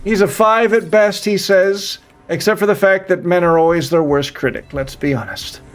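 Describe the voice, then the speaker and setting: A man's voice: sits high, normal speed. A man in his thirties chatting casually as a live streamer, rambling and often repeating his phrases. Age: 30s. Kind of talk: casual chat with viewers while gaming.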